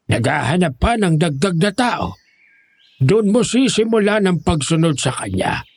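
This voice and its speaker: Guy acting as grave boss of secret monster hunters, highish male voice that moves around a lot, horror radio play. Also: no room sound, heavily acted. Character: commanding leader of a secret monster-hunting brotherhood. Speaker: actor in an audio drama. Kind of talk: Tagalog horror audio drama acting.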